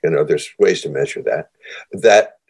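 A man's voice: extremely low pitch, normal speed, vocal fry, a little mellow, breathy edge. A man hosting an interview. He is enthusiastic and rambles, with many restarts along the way. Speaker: interview host. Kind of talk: conversational interview.

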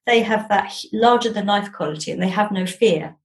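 A woman's voice: medium pitch, brisk. A middle-aged woman with an English accent, talking casually and enthusiastically as an interview guest, with emphatic turns of phrase. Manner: chatty and enthusiastic, piling on emphatic words. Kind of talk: casual, jolly conversation.

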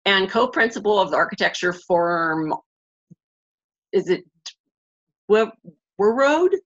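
Husky chatty woman, muffled lo-fi sound. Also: medium pitch, lively intonation, takes it slowly. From Pittsburgh area, United States. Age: middle-aged. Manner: chatty, lots of fillers like "you know" and "like", laughs easily. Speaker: interviewer, host. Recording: dull, muffled lo-fi line.

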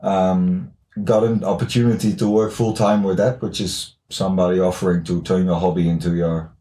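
Mellow young Dutch man in a roomy space. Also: low voice, level tone, moderate pace. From the Netherlands. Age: late 20s. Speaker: podcast guest, gym founder. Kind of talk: casual interview chat.

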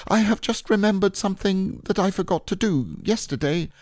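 A man voicing a small, timid, excitable young piglet in a squeaky, hesitant voice for a children's storybook. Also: moderate pace, dry recording, clean studio sound. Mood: nervous. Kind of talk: children's storybook character reading.

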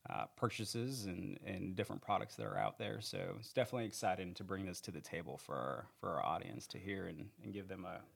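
Gravelly man rambling in a podcast. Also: low-pitched, big pitch swings, a little fast, few pauses. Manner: rambling, self-correcting, earnest. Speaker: training manager. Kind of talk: casual podcast interview. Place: podcast studio. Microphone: handheld studio mic.

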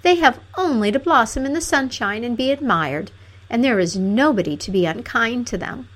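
A woman's voice: a little high, animated, normal speed, fairly bright tone, pitched above the performer's own voice. A woman voicing a sweet young fairy tale princess, plaintive, tender and pleading. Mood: sorrowful. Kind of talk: fairy tale storybook character.

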